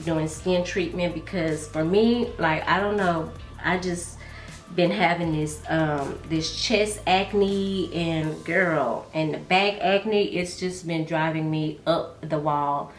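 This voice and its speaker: A woman's voice: deep voice, steady tone, slow pace. A woman vlogger chatting and confiding as she does her makeup at home, calling viewers baby and girl, sounding a little congested and nasal. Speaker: beauty and fragrance vlogger. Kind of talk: casual get-ready-with-me chat. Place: room at home, doing makeup. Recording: echoey room with faint music.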